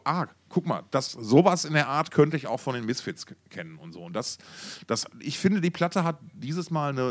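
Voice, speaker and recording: A man co-hosting a podcast in casual, slangy, enthusiastic music talk, often repeating words as he searches for phrasing. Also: mid-range voice. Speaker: podcast co-host.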